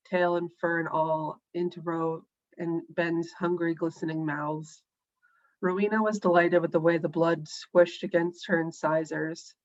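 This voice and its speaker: A woman's voice: fairly low pitch, lo-fi sound. A woman, an author, who sounds a little scratchy and nasal while recovering, reading her own story aloud over a video call. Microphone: home computer mic on a video call.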